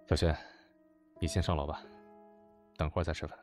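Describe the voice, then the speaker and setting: A man's voice: rumbling low, level tone, fairly quick, a little mellow, faint music behind. A man playing a firm, protective young man in a radio drama, curt and controlled. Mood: gentle. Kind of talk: web radio drama acting.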